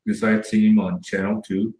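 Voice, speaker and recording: A man from Southern California telling stories as an interview guest, rambling with many pauses and restarts. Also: fairly low pitch, little pitch movement, a little mellow, distant mic. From Southern California, near the Mexican border. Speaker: guest, former TV cameraman. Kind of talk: casual storytelling interview. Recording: echoey room, voice from off mic.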